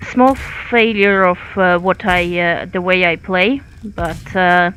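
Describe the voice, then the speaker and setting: Slow, hesitant Finnish-accented woman. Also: on the low side, a bit bright, slight background noise, rough recording. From Finland. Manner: hesitant, many fillers, thinking aloud. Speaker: game streamer. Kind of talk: live gameplay commentary.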